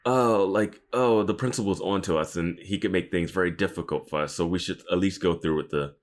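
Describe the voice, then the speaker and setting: A man co-hosting a podcast in casual conversation about a TV show, speaking in an animated, exclamatory way. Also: deep voice, a little fast. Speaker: podcast co-host.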